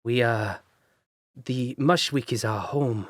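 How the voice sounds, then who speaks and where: A man voicing a tall humanoid blue jay man who leads a camp, speaking slowly and measuredly. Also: medium pitch, dry recording, studio quality. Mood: pleading.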